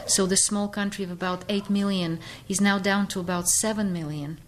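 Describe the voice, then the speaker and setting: A middle-aged woman with a Bulgarian accent, giving a thoughtful, unhurried radio interview as a guest educator, pausing often with fillers. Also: mid-range voice, steady tone, slight background noise. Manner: thoughtful, many fillers and pauses.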